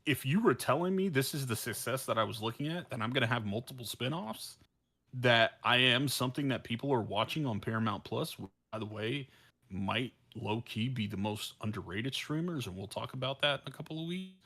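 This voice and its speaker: A man's voice: mid-range voice, expressive, pushed and bright. Man arguing in stop-start bursts. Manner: halting, repeats and restarts phrases for emphasis. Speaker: podcast co-host. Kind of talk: casual opinionated discussion.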